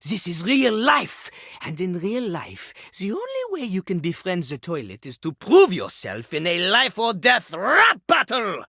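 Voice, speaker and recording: Pompous French male cartoon rapper, wildly animated. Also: mid-range voice, unhurried, slightly rough, no echo, clean studio sound, exaggerated voice. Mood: brash, challenging. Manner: pompous, theatrical, refers to himself in third person. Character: cocky male talking toilet, self-styled rapper. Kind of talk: animated video game character acting.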